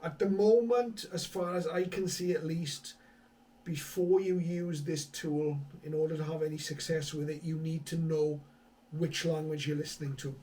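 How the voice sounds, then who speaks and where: Man, fairly high voice, casual hobby explainer, conversational and a bit hesitant, slightly distant mic. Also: fairly even intonation, normal speed, dark tone. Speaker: hobby radio vlogger, presenter. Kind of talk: casual tech explainer to camera.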